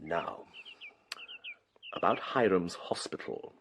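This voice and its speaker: Deep, oily English church schemer. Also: male voice, expressive, fairly bright tone, a little hiss or hum, exaggerated voice. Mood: coldly lecturing. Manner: unctuous, patronising, deliberate emphasis. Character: oily, condescending, ambitious church official, coldly reforming villain. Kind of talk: period radio drama acting.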